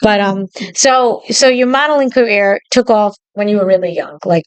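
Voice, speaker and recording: A woman's voice: mid-range voice, clean tone, dry recording, poor audio. A woman hosting a podcast as a comedian, talking bluntly and emphatically in casual chat. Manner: blunt, emphatic, quick to opinion.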